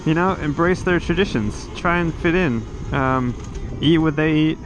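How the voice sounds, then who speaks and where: A man's voice: fairly high pitch, normal speed. A man from the United States, hosting his own motorcycle vlog and chatting casually and thoughtfully as he rides. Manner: easygoing, musing, chatty with fillers. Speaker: motovlogger host. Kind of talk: casual vlog chat while riding. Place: outdoors on an electric motorcycle. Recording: clear outdoor and road noise.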